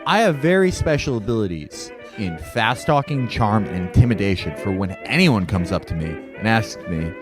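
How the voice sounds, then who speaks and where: A man's voice: fairly low pitch, expressive, normal speed, hardly any pauses. A man playing a comedy tabletop game, introducing his character in a mock-boastful way. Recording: clear music bed under the voice.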